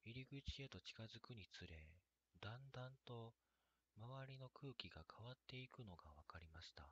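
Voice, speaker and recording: A man narrating a scripted first-person story in a measured, hushed way. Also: mid-range voice, dull audio, a little hiss or hum. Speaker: reader of own horror and drama stories.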